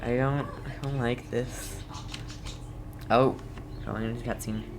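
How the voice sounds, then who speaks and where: A man giving casual, excitable and reactive commentary as he plays a video game. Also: medium pitch, slow, noisy background. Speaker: game streamer.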